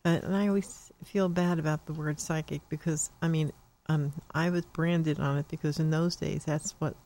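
A woman's voice: deep voice, steady tone, normal speed, mellow, rough recording. An older woman hosting a psychic call-in radio show, talking in a rambling, chatty way with many fillers. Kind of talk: casual radio call-in talk.

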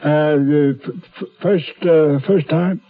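An actor playing a sly, secretive little cellmate of about forty in a 1970s American radio drama, speaking haltingly in a husky voice. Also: male voice, on the high side. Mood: sly, prying. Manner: halting, prying, slow. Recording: dull 1970s radio recording.

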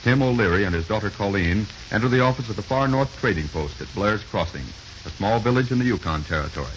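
A man's voice: on the low side, animated, fast. A man doing scripted 1930s radio announcing in a dramatic, formal, declamatory manner. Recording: hissy, muffled 1930s radio recording.

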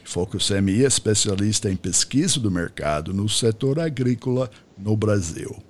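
Older man hosting, rambling chat. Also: medium pitch. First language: English. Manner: rambling, checks in with "tá?". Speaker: podcast host. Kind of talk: casual interview chat.

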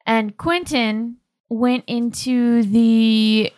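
A woman's voice: a little high, little pitch movement, very slow, darkish tone, no echo. A woman narrating a tabletop roleplaying game as game master in an informal, conversational way.

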